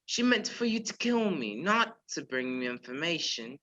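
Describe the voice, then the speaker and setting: A performer playing a polite, measured male professor who is secretly a menacing spy chief in a radio play. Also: medium pitch, big pitch swings, sharp tone. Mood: quietly menacing. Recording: dull lo-fi virtual recording.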